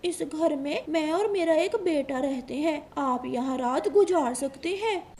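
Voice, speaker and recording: A woman voicing a gentle, hospitable mother crow in a cartoon story, speaking politely and reassuringly. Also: high voice, measured pace. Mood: kindly. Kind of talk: Hindi cartoon storybook acting.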